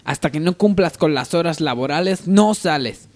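A man's voice: on the high side, expressive, normal speed, a little mellow. A man playing a domineering company boss in a parody radio soap, imperious and melodramatic. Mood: angry, scolding. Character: stern, domineering male coffee company boss.

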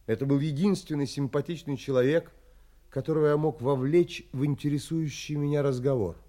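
An actor playing an earnest, reflective gentleman traveler, narrating an audio drama in literary, polite phrasing. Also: a little high, fairly even intonation, lightly acted. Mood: reflective, wistful. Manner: literary, measured, polite but firm.

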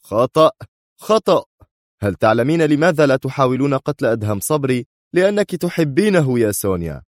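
A man's voice: deep voice, expressive, brisk, darkish tone, no echo, studio quality. A man playing a tense, mocking, hot-tempered male spy rival in an audiobook thriller. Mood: angry, accusing.

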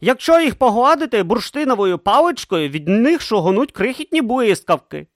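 A man voicing an earnest, excitable young male inventor who explains his ideas eagerly in a raised voice. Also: very high voice, normal speed, no room sound, studio quality, pitched above the performer's own voice, heavily acted.

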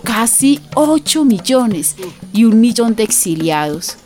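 A woman's voice: fairly high pitch, big pitch swings, slow, warm tone, soft background music. A woman playing an earthy, impassioned storyteller with a Colombian accent, narrating a radio drama expressively and speaking directly to listeners. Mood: indignant.

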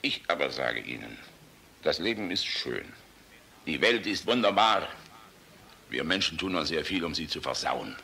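A man's voice: a bass voice, sing-song, normal speed, creaky, long pauses, a little hiss or hum, full character voice. An actor in a German radio play voicing an old, world-weary, passionate general, blustering and fatherly in a rhetorical manner. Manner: blustering, rhetorical, swinging from outbursts to hushed musing. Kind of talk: German radio drama acting.

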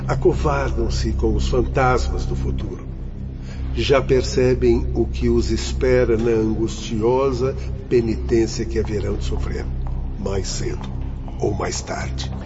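A man acts as a composed, authoritative doctor guiding apprentices in a Brazilian radio drama. His medium-pitched delivery is formal and instructive. Music sits strongly behind a somewhat dull recording. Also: steady tone, a little slow, lightly acted.

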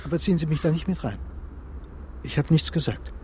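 A man's voice: fairly high pitch, animated, a little fast, darkish tone, a little hiss or hum, close to an everyday voice. An actor playing a wary local man who speaks in a guarded, clipped way, in radio drama style. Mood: guarded, conspiratorial.

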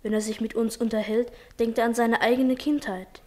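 An actress playing a thoughtful schoolboy in a 1950s radio play, earnest and soft-spoken. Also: female voice, a little high, steady tone, normal speed, close to an everyday voice. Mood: confiding. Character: thoughtful schoolboy dreaming of a better future.

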